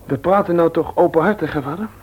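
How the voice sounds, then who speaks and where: A man's voice: fairly high pitch, fast, slightly rough, poor audio. A man playing an insolent, rebellious young son in a radio play, flippant and cool, sometimes hesitant. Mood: candid, guarded. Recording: old radio play recording.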